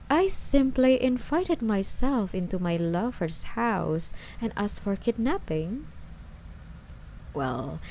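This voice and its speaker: Woman acting coy obsessive stalker girl, swinging pitch. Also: creaky, a little mellow, a little hiss or hum, heavily acted, poor audio. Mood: coy, defensive. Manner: coy, wheedling, hesitant, sighing delivery. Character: lovesick obsessive young female stalker under police interrogation. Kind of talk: ASMR roleplay voice acting.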